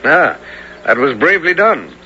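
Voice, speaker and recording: A man's voice: a little high, sing-song, moderate pace, sharp tone, slight background noise, exaggerated voice. A man playing a hearty outlaw leader in a 1970s radio drama, with theatrical, old-fashioned speech. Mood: admiring, curious. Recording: dull 1970s radio broadcast.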